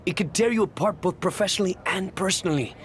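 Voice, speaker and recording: A man's voice: high-pitched, fast talker, a bit bright, slight background noise. A man playing a conscientious, worried wrestler and apologetic ally in a scripted video game cutscene. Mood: concerned.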